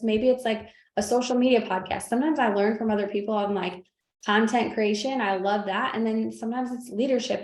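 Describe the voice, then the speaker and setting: Encouraging female host, higher voice, roomy echoey video call sound. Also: a little mellow. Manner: encouraging, guiding questions. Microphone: video call microphone.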